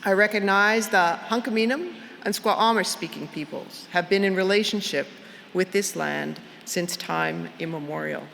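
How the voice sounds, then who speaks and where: A middle-aged woman, a university chancellor giving a measured formal address at an outdoor convocation through a podium microphone. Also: lowish voice, vocal fry, a bit bright, slight background noise. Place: outdoor university plaza with a large crowd. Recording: long outdoor PA reverb, faint ambience.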